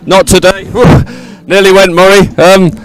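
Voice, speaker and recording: A man's voice: high voice, flat delivery, unhurried, faint music behind, poor audio. A man presenting a live sporting event in unscripted chat, effusive and repeating words for emphasis. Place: outdoors at a busy regatta venue.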